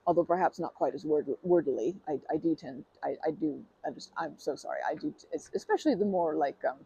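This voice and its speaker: A performer in an ASMR roleplay playing a nervous, polite stranger who flirts shyly in a wordy, hesitant ramble, with a husky, creaky voice. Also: mid-range voice, animated, fast talker, dark tone, lo-fi sound, exaggerated voice. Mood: nervous, apologetic.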